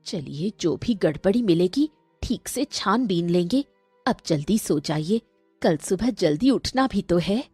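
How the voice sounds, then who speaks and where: A woman voicing a gentle, observant village wife in a cartoon. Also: lowish voice, expressive, measured pace, husky, dry recording, soft background music. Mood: calm, reassuring.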